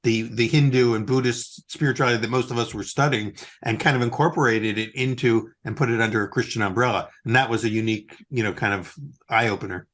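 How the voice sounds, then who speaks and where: An older man hosting an interview, asking questions in a relaxed, conversational way. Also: mid-range voice, fast talker. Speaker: host.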